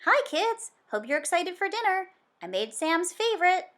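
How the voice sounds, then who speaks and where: A woman's voice: extremely high pitch, very animated, normal speed, a bit bright, pitched above the performer's own voice, exaggerated voice. A woman voicing a cheerful, welcoming father in a children's story, eager in manner.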